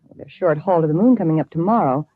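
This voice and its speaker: An actress playing a cool, calculating and ambitious villainess in an old-time radio science fiction drama. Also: female voice, deep voice, lively intonation, quick pace. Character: scheming, ambitious villainess plotting against rival space lines. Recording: muffled vintage radio recording.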